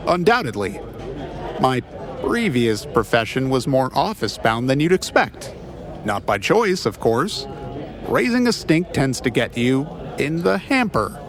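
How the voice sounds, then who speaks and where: An actor playing an awkward, wry private eye in an audio drama, bantering and stammering when flustered. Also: male voice, mid-range voice, big pitch swings, measured pace, music in the background. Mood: wry, grumbling. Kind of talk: audio drama detective comedy acting.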